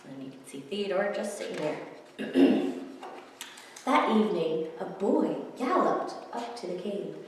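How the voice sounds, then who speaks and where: A woman reading picture books aloud to children in an expressive way, with asides to her listeners. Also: fairly low pitch, unhurried, slight background noise. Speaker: children's librarian, story time reader. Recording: echoey hall, far from the mic.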